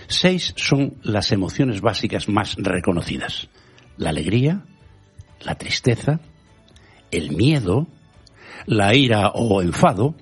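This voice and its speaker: A man whose first language is Galician, hosting a radio show with a measured, rhetorical scripted editorial. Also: lowish voice, breathy edge. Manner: measured, rhetorical, quotes proverbs and thinkers.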